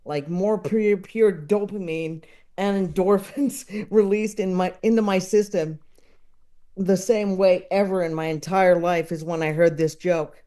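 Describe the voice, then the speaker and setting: A woman's voice: on the low side, steady tone, unhurried, mellow. A woman chatting casually as a podcast guest, often searching for her words as she goes. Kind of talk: casual chat.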